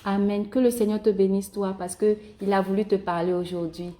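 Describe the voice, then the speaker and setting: Mellow-voiced woman earnestly evangelising by phone. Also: medium pitch, fairly even intonation, fairly quick, a little hiss or hum. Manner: earnest, encouraging, addresses listener directly. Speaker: evangelist calling strangers by phone.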